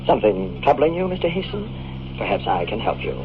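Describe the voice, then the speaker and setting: A solemn, coolly menacing stranger from the future in a vintage radio play. His phrasing is formal and precise, with wide swings in pitch. The sound is dull and noisy. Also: big pitch swings, fairly quick. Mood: cool, resigned. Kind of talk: 1950s radio drama acting. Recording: muffled, noisy old radio recording.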